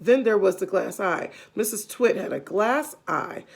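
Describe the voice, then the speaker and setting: Female children's storyteller: unhurried, creaky, expressive, with simple explanatory asides. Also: medium pitch. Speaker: read-aloud storyteller.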